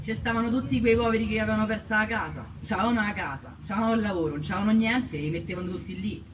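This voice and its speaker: A woman's voice: medium pitch, rapid-fire, natural voice. An actress playing a poor Roman woman from an antifascist family, giving insistent, colloquial, repetitive testimony in a Roman accent. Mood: indignant. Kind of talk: theatrical first-person testimony. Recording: distant stage sound with clear noise.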